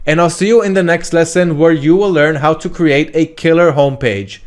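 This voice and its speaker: A man's voice: on the high side, a little fast, a little hiss or hum. A man instructing an online course with a screen-recorded tutorial, talking in an upbeat, direct way and often saying okay.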